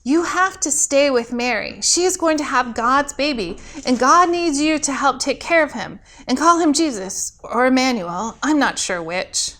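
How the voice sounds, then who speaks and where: A woman's voice: sits high, animated, normal speed, few pauses, fairly bright tone, pitched above the performer's own voice. A woman voicing an eager, awkward young novice angel in a storybook tale, nervous, rambling and apologetic. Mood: cautious, sheepish.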